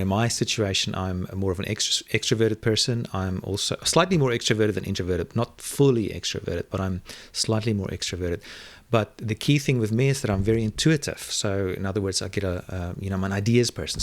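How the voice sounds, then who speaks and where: A man whose first language is Afrikaans, musing in an interview with frequent fillers and restarts. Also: fairly low pitch. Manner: thoughtful, many fillers and restarts. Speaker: interview guest. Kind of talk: reflective conversational interview.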